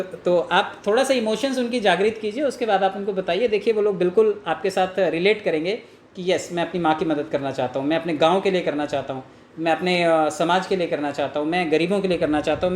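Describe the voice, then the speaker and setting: An echoey room with faint background noise surrounds a man from India as he coaches on selling. He explains things earnestly and informally, often posing rhetorical questions and voicing sample phone pitches. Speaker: network marketing trainer. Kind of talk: informal sales coaching with role-played call examples.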